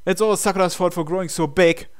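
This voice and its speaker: Higher-pitched man narrating a game playthrough. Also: steady tone, fast talker, smooth. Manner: reads prose aloud, breaks into incredulous asides. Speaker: gamer reading a visual novel aloud.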